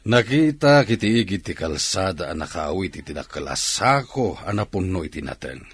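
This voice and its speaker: A man's voice: deep voice, big pitch swings, moderate pace, husky, no echo, exaggerated voice. An actor with a Filipino accent playing a practical, encouraging factory man in a radio drama. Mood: explaining. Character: male factory man, Mister, offering work, practical and encouraging. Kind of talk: Ilocano radio drama acting.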